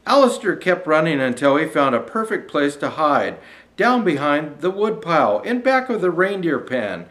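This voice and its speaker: Lively older man reading a children's storybook. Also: on the high side, normal speed. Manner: folksy, addressing young viewers directly. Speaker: storyteller in a Santa persona. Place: small indoor decorated studio set.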